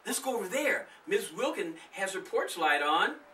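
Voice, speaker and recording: Man putting on a bossy girl, raised pitch. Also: very animated, normal speed, bright, forceful tone, far from the mic, a little hiss or hum, exaggerated voice. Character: bossy, reluctant older sister, a kid.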